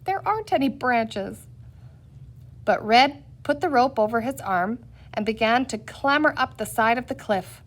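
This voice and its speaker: A woman reading a children's adventure story aloud in an expressive, scripted narrating style. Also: a little high, normal speed. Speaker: audiobook reader.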